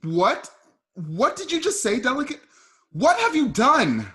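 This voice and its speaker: Man acting stubborn frontier companion, wildly animated. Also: extremely high pitch, a little slow, full character voice. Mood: incredulous, angry. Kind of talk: online radio play acting.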